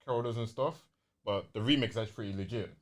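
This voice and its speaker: A man's voice: on the low side, fast. A man, explaining things loosely and casually as co-host of a gaming podcast.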